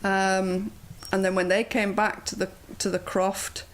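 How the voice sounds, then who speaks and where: A woman in her fifties with a soft Yorkshire accent, chatting as an interview guest, with plenty of ums and uhs. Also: mid-range voice, little pitch movement, unhurried, rough recording. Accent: mild Yorkshire. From Yorkshire, England. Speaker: guest, yoga teacher. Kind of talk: casual interview chat.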